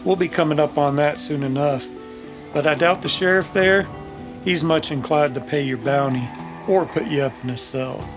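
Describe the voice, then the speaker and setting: An actor playing a gruff, sarcastic bounty hunter with an in an old-time radio drama, dry and mocking. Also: male voice, a little high, normal speed, over music, natural voice. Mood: dismissive.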